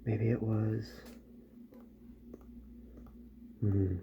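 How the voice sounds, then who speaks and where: A man playing a calm, attentive robot repair doctor in a soft-spoken ASMR roleplay, hushed and unhurried, often slipping into a whisper. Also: lowish voice. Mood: musing, pondering. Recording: dull sound, loud background noise.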